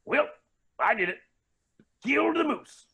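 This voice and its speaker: A man's voice: very high voice, sing-song, a little slow, pushed and bright, exaggerated voice. A man playing a flamboyant, pompous billionaire villain in a staged play reading, smug and theatrical. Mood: gloating. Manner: pompous, grandiose.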